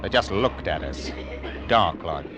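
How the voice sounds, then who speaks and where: An actor playing a stern, uneasy sea captain who tells his tale gravely and tersely in old-time radio style. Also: deep voice, animated, measured pace. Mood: grave, haunted. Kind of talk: old-time radio dramatic narration and dialogue. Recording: old radio recording with music behind.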